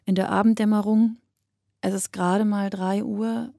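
An actress playing a somber woman narrator in a radio drama, speaking in a measured, reflective, literary monologue addressed to a withdrawn loved one. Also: mid-range voice, level tone, creaky, mellow, no echo, clean studio sound, natural voice.

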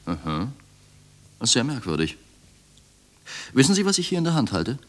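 An actor playing a coldly sardonic, probing police interrogator in a German radio drama, insistent and clipped. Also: male voice, medium pitch, big pitch swings, moderate pace. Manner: insistent, clipped, swinging from quiet to sharp.

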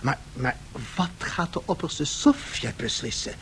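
An actor playing a tempted but cautious Soviet negotiator in a 1970s radio drama, non-committal. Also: male voice, on the high side, very animated, measured pace, fairly bright tone, slight background noise, heavily acted.

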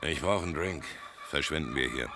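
An actor playing a menacing, brooding gangster boss in a German radio drama, gruff, commanding and sneering. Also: male voice, extremely low pitch, a little fast. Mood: detached narrating. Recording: music behind the voice.

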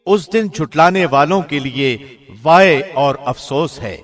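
A man reading a scripture translation in a formal, measured, solemn radio-host delivery. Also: a little high, faint music behind.

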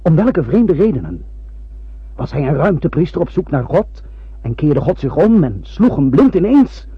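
An actor in a radio play voicing a mysterious, ominous prophet, theatrical and insistent, firing off rhetorical questions and warnings. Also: male voice, fairly high pitch, big pitch swings, normal speed, breathy edge, no echo, exaggerated voice. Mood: intense, taunting. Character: mysterious, ominous prophet warning young spacemen off a doomed voyage. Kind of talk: radio drama acting. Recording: dull, dry old radio play recording.

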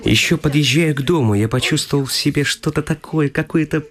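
A man's voice: a little high, a little fast, natural voice. An actor playing a shy, lovestruck young man who muses nervously and formally in a radio comedy. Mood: nervous, smitten. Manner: introspective, formal asides. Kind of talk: theatrical radio comedy acting.

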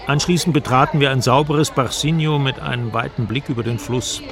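A man's voice: medium pitch, a little fast, crowd noise, close to an everyday voice. An actor playing a traveller who narrates his expedition in a radio play, in a factual, observant way. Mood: observant, measured. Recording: music behind the voice.

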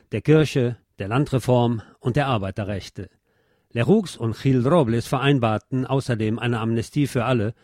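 A man reading a scripted history narration as narrator, in a measured documentary manner. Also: mid-range voice, a little mellow, no echo, studio quality.

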